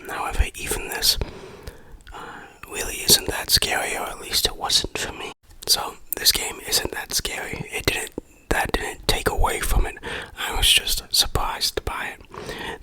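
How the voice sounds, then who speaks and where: A reviewer speaks entirely in a breathy ASMR whisper. The delivery is slow and measured, with a bright, sharp edge to the unvoiced sound. The phrasing is informal, like someone talking through their opinions. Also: poor audio. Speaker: game reviewer and ASMR host.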